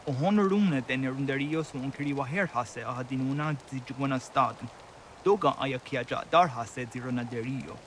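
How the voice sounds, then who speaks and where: A man's voice: medium pitch, fairly quick, slight background noise, lightly acted. An actor playing a village man in a dramatic historical video game cutscene. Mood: serious.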